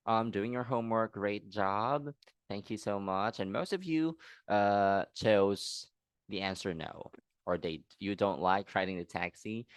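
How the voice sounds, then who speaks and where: Teacher, male, low voice, dry close sound, patient and encouraging, online lesson. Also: clear, resonant tone, no room sound. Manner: patient, encouraging, checks in often. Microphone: video call.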